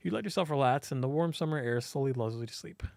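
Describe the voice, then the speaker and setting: A man reading a visual novel aloud as host, in expressive scripted narration. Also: mid-range voice, fast talker, vocal fry, no room sound.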